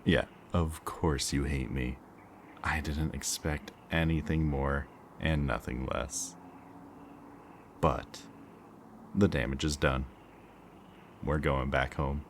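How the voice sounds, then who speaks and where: A man playing a smug, taunting kidnapper villain in an audio roleplay, with a mocking, theatrically drawn-out delivery. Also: extremely low pitch, fairly even intonation, a little hiss or hum, full character voice. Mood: cold, threatening. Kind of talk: scripted audio roleplay, ASMR.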